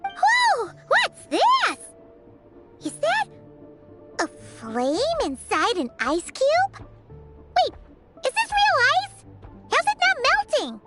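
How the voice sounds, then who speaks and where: A small, squeaky fairy companion from a video game. The voice is sky-high and swoops all over, yet takes its time with words. It is childlike, curious and eager. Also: female voice, huge pitch swings, slow pace, long pauses, loud music behind the voice, heavily acted. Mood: amazed. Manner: refers to self in third person, exclaims eagerly.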